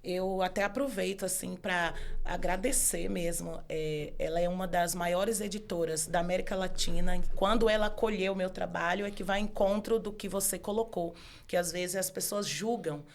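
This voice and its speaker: A woman with a Northeastern Brazilian accent, a law professor and author, talking as a guest in a casual interview, earnest and often pausing to rephrase. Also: on the low side, creaky, slight background noise. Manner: earnest, with frequent hesitations and restarts.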